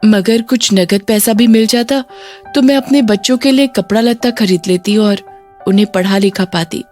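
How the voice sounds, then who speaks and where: A woman voicing an honest, simple, poor village mother in a children's moral story, gentle and hesitant. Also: medium pitch, moderate pace, soft background music, natural voice. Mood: hesitant, wishful.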